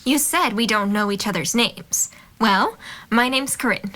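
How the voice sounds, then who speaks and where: A woman's voice: fairly high pitch, a little slow, bright, forceful tone. A woman acting an outgoing, curious young college student in an audio drama, playful, breezy and direct. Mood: teasing, friendly. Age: young adult.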